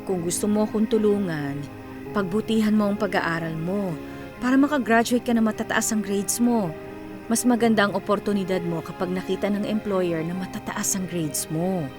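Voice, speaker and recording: A woman playing a caring, gently firm, hardworking widowed mother in a radio drama. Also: medium pitch, steady tone, moderate pace, music in the background, natural voice.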